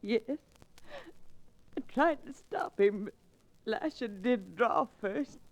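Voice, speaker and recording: A devoted elderly storekeeper's wife. The voice is high and creaky, drawn out very slowly, in old radio Western style. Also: female voice, lively intonation, full character voice. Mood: grieving.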